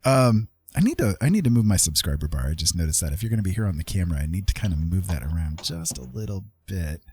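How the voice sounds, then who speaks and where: A man's voice: sits low, sing-song, fast, dark tone, slightly rough. A man hosting a casual livestream, chatting in a jokey, rambling way. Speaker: livestream host and artist.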